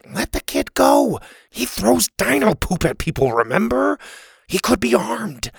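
Male reader as a nervy kid boy, anxious and jumpy, pitched up. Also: huge pitch swings, measured pace, gravelly, husky, no echo, clean studio sound, heavily acted. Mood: jittery. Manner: jittery and anxious. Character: nervous, jittery young boy.

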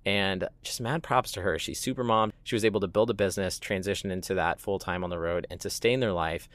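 A man's voice: deep voice, fairly quick, a bit bright. A man hosting a podcast, giving a friendly, admiring introduction to a guest's talk. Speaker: podcast host. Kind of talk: episode introduction.

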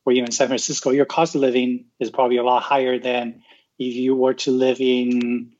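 A man's voice: medium pitch, completely flat delivery, fairly quick, darkish tone, highs cut off. A man from Taiwan, a podcast guest, explaining his points in casual talk, often ending with a questioning "right?" and restarting his words. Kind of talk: casual interview answers.

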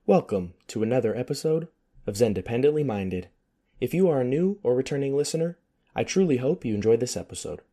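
A hoarse man who is losing his voice, hosting a casual solo podcast with a sarcastic, rambling manner and free swearing. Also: medium pitch, expressive, fairly quick, warm tone. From United States. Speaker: podcast host.

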